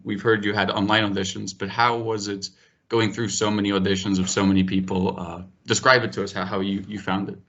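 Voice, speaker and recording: Low-voiced male interviewer, dull audio. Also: sharp tone. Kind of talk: conversational interview questions.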